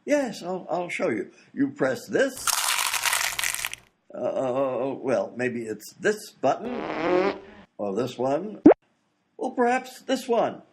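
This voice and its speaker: A man's voice: a little high, big pitch swings, slow, long pauses, heavy background noise, exaggerated voice. A man playing a bumbling, fussy scientist inventor in a comedy radio play, muddled and hesitant as he talks. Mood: eager, muddled.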